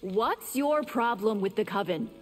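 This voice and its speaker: A woman's voice: mid-range voice, animated, unhurried, over loud music, lightly acted. Woman playing a proud, defiant vampire. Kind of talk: video game voice acting. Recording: clear background noise.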